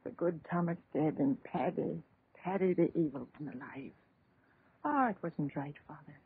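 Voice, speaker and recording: An actress playing a frail, pious, grieving old widowed mother in 1930s radio horror, husky and lamenting. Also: low-pitched, moderate pace, heavily acted. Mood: mournful narration. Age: older. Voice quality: husky, rough. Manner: plaintive, lamenting storyteller with pious exclamations. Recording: tinny, band-limited 1930s radio recording.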